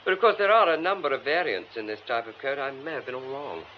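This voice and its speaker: A man's voice: mid-range voice, quick pace, lightly acted. An actor playing a prim, defensive theatre man in a radio drama, fussy and precise in his wording. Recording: muffled radio broadcast, faint noise.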